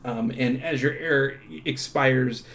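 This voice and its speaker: A man with a creaky, gravelly voice giving a casual product walkthrough in a halting, self-interrupting way. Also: medium pitch, a bit bright. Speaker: unboxing presenter.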